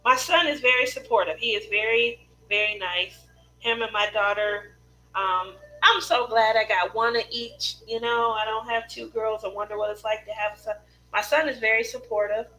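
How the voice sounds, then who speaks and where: A woman's voice: a little high, steady tone, moderate pace, bright, forceful tone, rough recording. A middle-aged woman chatting casually with live viewers as host of a craft stream, telling personal stories in a rambling way.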